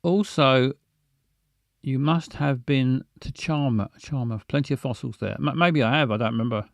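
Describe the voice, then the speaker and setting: A man's voice: mid-range voice, moderate pace, gravelly, no echo, studio quality. Rambling man hosting a stream. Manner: stammering, rambling, self-mocking. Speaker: livestream host. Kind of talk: casual chat with viewers.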